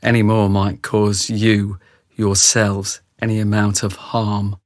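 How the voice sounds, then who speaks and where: An actor playing a brutish ex-soldier who narrates a radio drama, speaking straight to the listener. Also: male voice, fairly low pitch, little pitch movement, takes it slowly, background noise. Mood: grave, confiding. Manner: grave, confiding, addresses the listener directly. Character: remorseful thick brute ex-soldier, male confessional narrator.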